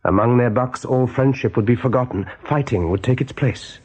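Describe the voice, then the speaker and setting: Man, scripted drama narration, warm dark tone, slightly rough breathy voice, measured literary delivery. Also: medium pitch, low-quality recording. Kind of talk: scripted radio play narration.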